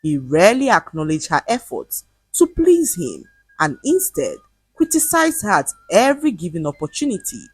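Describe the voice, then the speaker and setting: A woman narrating a scripted folktale in a measured, expressive way. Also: lowish voice, husky, dry recording, soft background music, rough recording. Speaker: storyteller.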